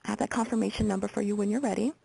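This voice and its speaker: A woman playing a procedural, businesslike hotline call taker with an American accent and a husky, creaky voice, in a simulated intake call. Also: mid-range voice, steady tone, racing, warm tone, rough recording. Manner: procedural, reads details back methodically. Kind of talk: simulated phone intake interview for training.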